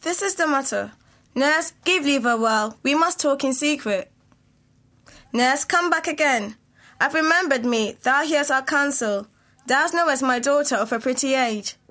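Woman playing a noble mother, very high voice, formal, commanding, declaimed verse, audio drama. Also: normal speed, pushed and bright. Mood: formal, urging. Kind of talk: student audio drama of Shakespeare.

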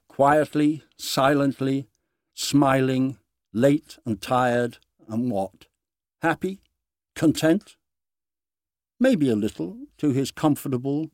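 A man narrating a short story dramatically, with deliberate phrasing and dramatic pauses. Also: medium pitch, darkish tone, no echo, clean studio sound.

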